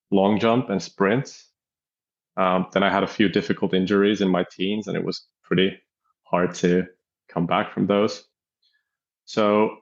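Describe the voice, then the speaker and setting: A man in his thirties with a Finnish accent, giving an understated, halting, conversational account as an interview guest. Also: low voice, gravelly. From Finland. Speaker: interview guest, athlete coordinator. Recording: dull, muffled audio.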